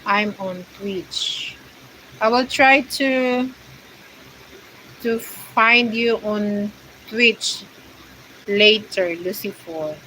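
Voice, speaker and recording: A woman's voice: medium pitch, very slow, long pauses, bright, forceful tone, a little hiss or hum, poor audio. A woman from the Philippines with a Filipino accent, chatting casually on her livestream and reading viewer comments in hesitant English full of fillers. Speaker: vlogger hosting a livestream.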